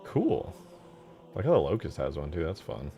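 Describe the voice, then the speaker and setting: A man's voice: rumbling low, huge pitch swings, normal speed, vocal fry, mellow, soft background music. A man giving casual, informal live commentary as he works on game mods, muttering his thoughts aloud with the odd swear. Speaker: game modder, streamer.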